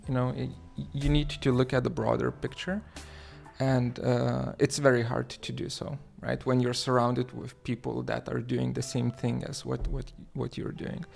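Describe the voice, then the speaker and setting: A man from Lithuania hosting a livestream, talking haltingly with many fillers and restarts as he reads the chat aloud. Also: mid-range voice, little pitch movement, creaky, a little mellow, faint music behind. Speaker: livestream host.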